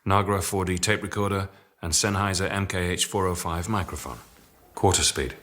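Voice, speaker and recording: A man's voice: deep voice, fairly even intonation, moderate pace, a bit bright. A man acting in a radio drama as a sardonic, grumbling audio scientist with a dry wit. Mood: methodical. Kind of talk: naturalistic radio drama dialogue.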